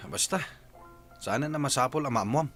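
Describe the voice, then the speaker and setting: An actor with a Filipino accent playing a stubborn, spendthrift young boyfriend in a radio drama, defensive and dismissive. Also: male voice, medium pitch, moderate pace, a bit bright, faint music behind, lightly acted. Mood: demanding.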